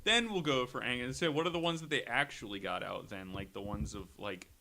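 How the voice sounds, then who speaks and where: A man's voice: medium pitch, brisk, pushed and bright. A man chatting casually as a podcast guest, riffing jokingly along with the conversation.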